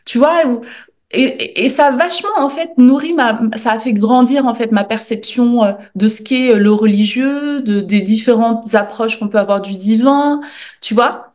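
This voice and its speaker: A woman's voice: a little high, normal speed, a little mellow. A woman from France speaking as a podcast guest, in an informal, reflective conversational way. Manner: informal, reflective, often checking with "tu vois". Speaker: podcast guest, journalist and author. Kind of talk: casual interview talk.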